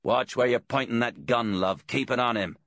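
A man's voice: mid-range voice, moderate pace, a bit bright, no room sound, studio quality, voice pushed up, natural voice. A man voicing a smug, cynical, corrupt police inspector with a casual, taunting manner in a crime audiobook.

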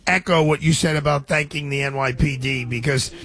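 A man's voice: on the high side, steady tone, a little fast, fairly bright tone. A man hosting a radio talk show, chatting energetically with frequent restarts.

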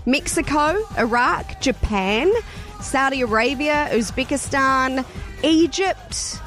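A woman co-hosting a radio show, briskly running through a list in casual banter. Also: high voice, a bit bright, soft background music. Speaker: radio co-host.

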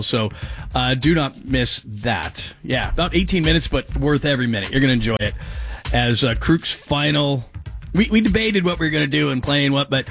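A man's voice: mid-range voice, fairly bright tone. A man hosting a sports radio show, talking casually and rambling, joking with his co-host and laughing at his own bits.

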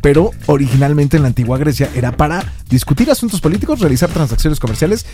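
Man, playful co-host, reads messages aloud, fairly high voice. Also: expressive, fast, mellow, faint music behind. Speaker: podcast co-host. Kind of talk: casual banter reading listener messages.

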